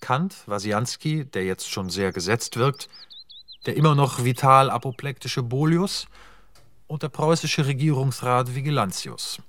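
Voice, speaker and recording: A man reading the stage directions of a radio play in a plain, measured way. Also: medium pitch, a bit bright, slight background noise. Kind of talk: scripted radio play narration.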